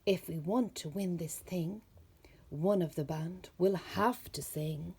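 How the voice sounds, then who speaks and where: A woman voicing a big, gruff, serious bear in a children's storybook, slow and blunt with a husky voice. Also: on the low side, mellow, exaggerated voice.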